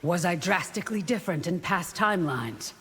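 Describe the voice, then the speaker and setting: An actress playing a devout, defiant warrior bodyguard in a video game, blunt and guarded. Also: female voice, fairly low pitch, animated, brisk, natural voice. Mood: guarded, probing. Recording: long hall reverb, faint noise.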